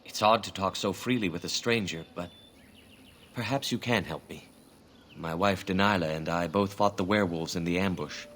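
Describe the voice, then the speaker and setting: An actor playing a grieving elven hunter in a fantasy game, speaking in a formal, measured, earnest way. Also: male voice, deep voice, a bit bright, a little hiss or hum. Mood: sorrowful.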